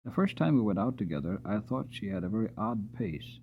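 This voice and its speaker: A man reading a storybook aloud as a dramatic, expressive audiobook narrator telling a tale in the first person. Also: lowish voice, vocal fry, dull audio, slight background noise. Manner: expressive, slowing on sad passages.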